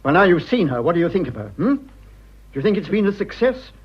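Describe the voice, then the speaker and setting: An actor playing a wronged upper-class English husband in a British radio drama, clipped, haughty and indignant. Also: male voice, high voice, sing-song, quick pace, slightly rough, dull audio, full character voice. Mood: stern, accusing.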